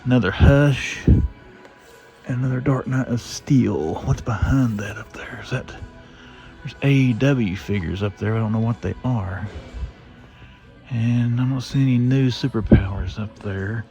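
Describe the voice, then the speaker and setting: A man's voice: mid-range voice, unhurried, vocal fry, mellow, faint music behind. A middle-aged man with a Southern drawl, chatting to himself as he walks store aisles hunting for toys for his vlog. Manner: chatty, rambling, talks to himself while browsing shelves. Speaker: toy collector vlogger. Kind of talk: casual walk-through vlog.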